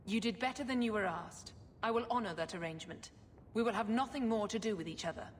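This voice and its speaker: A woman's voice: medium pitch, quick pace, slight background noise, natural voice. Casting: condescending religious zealot, female actor, medium register, poised and cold, fantasy game, ringing in a large hall. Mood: dismissive. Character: haughty, zealous religious sister, coolly scheming. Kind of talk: video game cutscene acting. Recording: long hall reverb, music behind.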